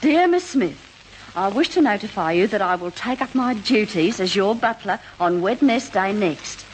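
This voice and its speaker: A woman's voice: mid-range voice, expressive, normal speed, slight background noise, lightly acted, poor audio. A woman acting a droll, mock-genteel old-fashioned lady in a radio comedy double act. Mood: droll, pleased.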